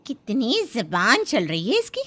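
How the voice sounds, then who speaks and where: A woman voicing a bossy, sharp-tongued older housemaid who scolds and gives orders like a mother-in-law in a story. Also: on the high side, wildly swooping pitch, unhurried, husky, exaggerated voice. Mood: angry, threatening. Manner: commanding, scolding.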